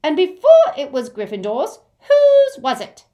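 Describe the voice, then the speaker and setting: A woman's voice: a little high, big pitch swings, slow pace, pitched above the performer's own voice, heavily acted. A woman voicing a proud, angry male goblin who speaks in a firm, formal, indignant manner in a storybook reading.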